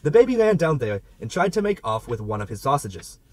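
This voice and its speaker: A man's voice: medium pitch, very animated, brisk, a little mellow, no room sound. A man narrating a literary novel as an amateur audiobook reader, with a theatrical delivery.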